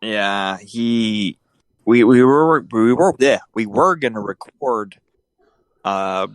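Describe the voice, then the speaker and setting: A man's voice: lowish voice, lively intonation, slow pace, no echo. A man hosting a casual podcast, rambling and joking with his co-host, often restarting his sentences.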